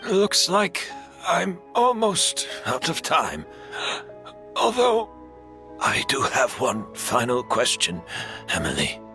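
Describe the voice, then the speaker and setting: An actor playing a dying, wistful master in a video game, speaking in a halting, theatrical way. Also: male voice, medium pitch, huge pitch swings, pushed and bright, soft background music, full character voice. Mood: weary, intense.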